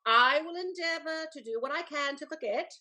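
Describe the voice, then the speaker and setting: A woman's voice: high-pitched, moderate pace, pushed and bright. A woman playing a melodramatic, imperious older aristocratic aunt in a period stage comedy, with grand theatrical delivery. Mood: grudging, smitten. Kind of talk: scripted stage comedy acting.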